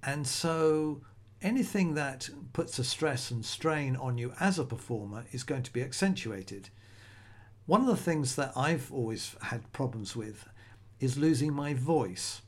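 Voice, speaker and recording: A man's voice: medium pitch, a little mellow. A middle-aged man hosting a solo podcast, talking in a conversational, rambling way with frequent restarts. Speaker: podcast host.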